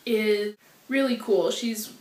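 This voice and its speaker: Deadpan joking young woman. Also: medium pitch, takes it slowly. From Colorado. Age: 20s. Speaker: roommate. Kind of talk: casual chat to camera. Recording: echoey room, faint background noise.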